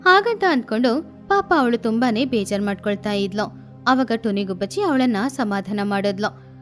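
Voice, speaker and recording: A woman narrating a children's cartoon story in a steady, explanatory way. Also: on the high side, normal speed. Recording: faint music behind.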